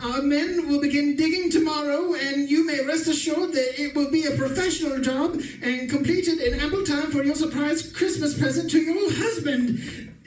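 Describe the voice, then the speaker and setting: An actor playing a genteel, smug, scheming married professor in a formal old-time radio suspense style. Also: male voice, very high-pitched, moderate pace. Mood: uneasy, reading aloud. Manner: formal, chuckling, self-satisfied. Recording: roomy, distant stage recording with music.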